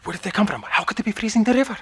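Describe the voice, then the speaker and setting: A nervous fugitive in an audio drama. Her voice is very husky and creaky, hushed and questioning. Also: mid-range voice, expressive, racing, heavily acted. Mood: worried, hushed. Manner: hushed, anxious.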